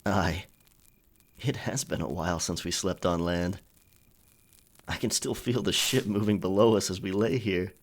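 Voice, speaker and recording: A man's voice: low-pitched, a little slow, pauses often. A man playing a charming, flirtatious pirate captain in a romantic audio roleplay, teasing and tender as he speaks to his lover. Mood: soft, tender.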